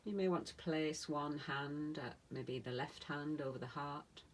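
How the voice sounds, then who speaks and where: A woman meditation teacher leading a guided relaxation in a soothing manner, speaking in short phrases with long pauses. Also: low-pitched. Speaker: yoga nidra meditation teacher.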